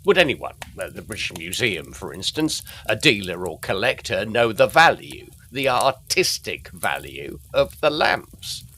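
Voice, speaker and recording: A low-pitched, gruff police sergeant from an old London mystery. He speaks slowly and deliberately in plain working man's phrases, with lively swings of pitch. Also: heavily acted. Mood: musing. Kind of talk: gothic audio drama acting.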